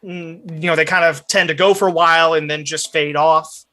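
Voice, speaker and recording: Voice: mid-range voice, fairly even intonation, normal speed, pushed and bright. A game master improvising tabletop narration, describing scenes conversationally and speaking straight to the players. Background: background music.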